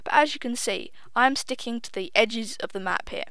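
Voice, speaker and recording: A woman's voice: fairly high pitch, moderate pace, fairly bright tone, clean tone, dry recording. Chatty young woman, dry sound. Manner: chatty, self-deprecating, swears casually; says she sounds nervous and tense. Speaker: gaming video host. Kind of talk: casual gameplay commentary voice-over.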